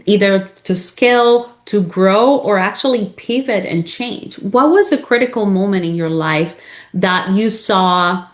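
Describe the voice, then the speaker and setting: Woman interviewer, enthusiastic, long questions, recaps answers. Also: lowish voice. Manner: enthusiastic, sums up the guest's answers. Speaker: podcast host, interviewer.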